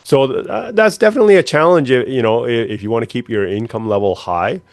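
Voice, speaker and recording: A man hosting an interview in a conversational, somewhat halting way, often restarting his words. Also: mid-range voice, lively intonation, no echo. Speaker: interview host.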